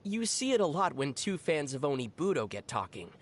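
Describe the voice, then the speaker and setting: An actor voicing a calm, teasing young adventurer in a video game, with a dry, knowing manner. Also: mid-range voice, fairly quick. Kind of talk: video game character voice acting.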